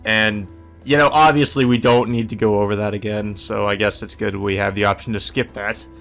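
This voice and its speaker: A man's voice: fairly low pitch, fairly even intonation, a little fast, creaky, a bit bright, faint music behind. A man giving casual, rambling commentary as he plays through a visual novel. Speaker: let's player reading a visual novel aloud with commentary. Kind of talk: casual game commentary mixed with reading aloud.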